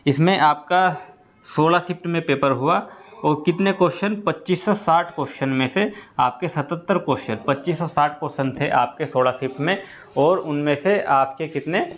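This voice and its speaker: Male presenter, fairly high pitch, informal repetitive explainer delivery, dull low-fidelity sound. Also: normal speed, fairly bright tone. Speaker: exam-update presenter for students. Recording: dull lo-fi audio.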